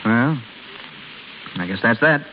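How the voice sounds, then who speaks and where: An actor playing an awkward, lovesick young husband in an old radio drama, hesitant and blurting, pleading and stubborn. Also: male voice, mid-range voice, expressive, slow pace. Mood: hurt, hesitant. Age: young adult. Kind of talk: 1930s-40s American radio drama acting. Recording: scratchy, hissy old radio broadcast, phone-like.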